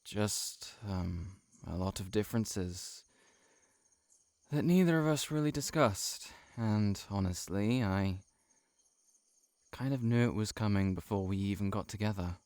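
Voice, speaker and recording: Slow, low-voiced, hesitant heartbroken friend played by a man. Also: a little mellow, dry recording, clean studio sound. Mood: regretful, confessing. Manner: hesitant, halting, confiding. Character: shapeshifting monster posing as a shy, heartbroken male friend. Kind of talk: intimate audio roleplay, spoken to the listener.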